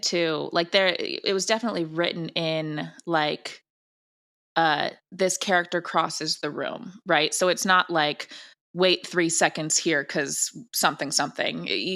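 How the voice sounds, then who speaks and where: A woman's voice: fairly low pitch, steady tone, measured pace, sharp tone. A woman chatting casually as an interview guest about her creative work. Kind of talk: casual, animated interview chat.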